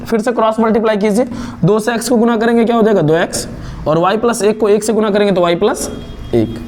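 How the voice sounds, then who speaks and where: A young man from India teaching a step-by-step math lesson, explaining slowly and asking and answering his own questions. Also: high voice, fairly even intonation, a little mellow, a little hiss or hum.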